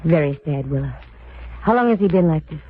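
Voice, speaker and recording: Wry female sleuth in 1940s radio drama, poised and sharp-witted, narrow vintage sound with faint noise. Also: deep voice, lively intonation, brisk. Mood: calm concern. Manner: wisecracking, poised. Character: wry, quick-witted lady private eye. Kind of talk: 1940s radio detective drama acting, with narration. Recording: tinny, noisy old radio recording.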